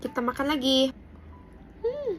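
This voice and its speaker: Woman, Indonesian accent, fairly high voice, slangy food review with English words, faint music behind. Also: sing-song, a little slow, fairly bright tone. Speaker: food vlogger. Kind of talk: casual mukbang review.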